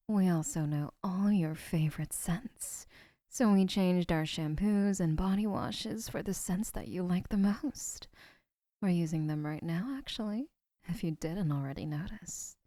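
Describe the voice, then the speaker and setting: A woman playing a doting, obsessive young woman who keeps the listener, speaking in a soft, whispery, sweetly possessive way in an audio roleplay. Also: fairly low pitch, measured pace, no echo, studio quality.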